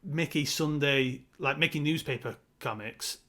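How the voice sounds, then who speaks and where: A man's voice: fairly high pitch, a little slow, pushed and bright. A man co-hosting a casual film discussion, speaking in an analytical, emphatic way and wandering off into side points. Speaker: podcast co-host.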